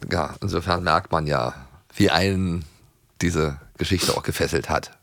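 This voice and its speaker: Man, podcast co-host, casual chat, lowish voice, dry close recording. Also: measured pace, a bit bright, no room sound.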